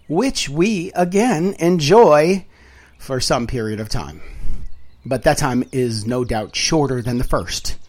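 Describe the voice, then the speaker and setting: Man reading a wry literary audiobook. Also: on the high side. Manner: dramatic, wry, deliberate phrasing with emphatic swells and hushed drops. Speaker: audiobook narrator.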